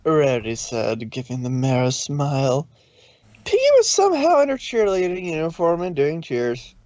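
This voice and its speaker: A man's voice: a little high, very animated, normal speed, a little mellow, no room sound, muffled recording. A man narrating a story informally, with a loose delivery in which he sometimes corrects himself. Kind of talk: informal fan fiction reading.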